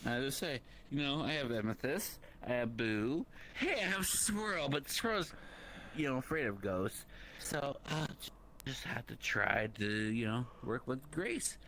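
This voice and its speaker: A young man in casual online roleplay chat, boastful and teasing, talking in a halting, chatty way. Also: mid-range voice, expressive, fairly bright tone. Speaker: roleplayer, guild staff member. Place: online virtual-reality chat. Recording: faint background noise.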